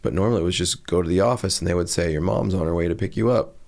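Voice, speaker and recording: A man's voice: low voice, racing, creaky, a little mellow. An American man, likely in his thirties, chatting casually as a podcast host in a wry, opinionated way.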